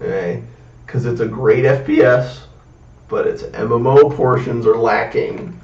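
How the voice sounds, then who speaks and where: A man's voice: medium pitch, unhurried, darkish tone. A young man talking casually on a gaming podcast, sweary and given to emphatic exaggeration. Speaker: podcast guest discussing games. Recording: echoey room, distant dull mic.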